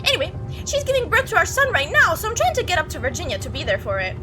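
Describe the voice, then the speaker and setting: A creepy young man from a visual novel, acted out by a woman, chatters on without pause. The pitch sits high and jumps around animatedly. He is breezy and oblivious, with casual menace under the friendly rambling, and music plays behind. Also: very animated. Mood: boastful, rambling. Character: talkative, oblivious, creepy young male bus passenger.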